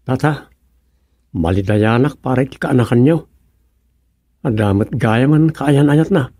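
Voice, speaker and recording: A man acting in a radio comedy as an eager young man pleading with an elder, halting and stammering as he talks. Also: medium pitch, dark tone, no echo, studio quality.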